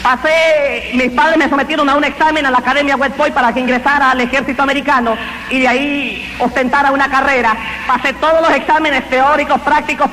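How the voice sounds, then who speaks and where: A man's voice: very high voice, background noise. A man, giving a fervent, emphatic religious testimony that he tells as a story. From Honduras. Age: 20s. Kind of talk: evangelical testimony.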